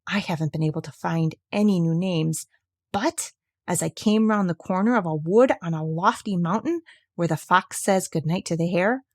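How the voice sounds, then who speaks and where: A woman storyteller reading a fairy tale aloud in an expressive, theatrical and clearly enunciated way. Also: fairly low pitch, normal speed.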